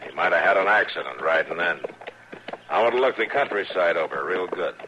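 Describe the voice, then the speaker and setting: An American actor playing a stern, terse frontier marshal in a 1950s western radio drama. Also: male voice, low voice, animated, fairly quick, pushed and bright. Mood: firm, impatient. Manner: terse, commanding, dry. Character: stern, laconic frontier US marshal in his prime. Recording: noisy, dull old radio recording.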